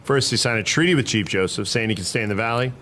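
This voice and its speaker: A man's voice: lowish voice, quick pace, bright, forceful tone. A man playing a principled, stubborn cavalry private in a historical drama, arguing in folksy frontier speech. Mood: weary, doubtful.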